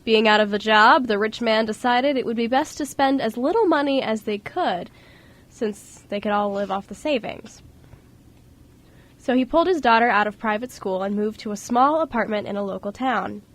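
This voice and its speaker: Female narrator for an amateur radio drama, higher-pitched voice, reading a scripted story. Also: moderate pace. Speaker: radio show co-host and narrator.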